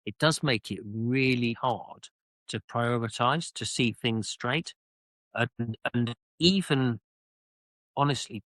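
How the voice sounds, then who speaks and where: A middle-aged British man telling his own story as an interview guest, reflective and halting, often repeating words as he searches for phrasing. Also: mid-range voice, fairly bright tone, no room sound. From United Kingdom. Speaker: interview guest, author and former army officer.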